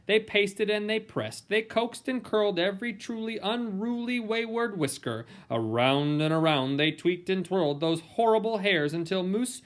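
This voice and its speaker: A man reading a children's picture book aloud in a playful, theatrical way with dramatic emphasis and pauses. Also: high-pitched. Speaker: storybook reader.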